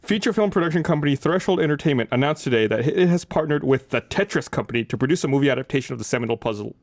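A man's voice: on the high side, expressive, racing, no room sound. Guy in his 30s hosting a gaming podcast, casual chat, close dry studio mic. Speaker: podcast host. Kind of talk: casual panel chat about gaming news. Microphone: close studio microphone on desk.

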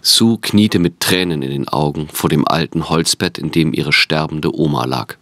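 A man's voice: very deep voice, moderate pace, fairly bright tone. A man reading scripted narration for an audio drama.

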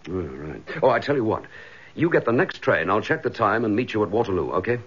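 An actor playing a brisk, affectionate British police detective in a naturalistic radio drama. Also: male voice, lowish voice, sing-song, slightly rough, dull audio. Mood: affectionate, reassuring.

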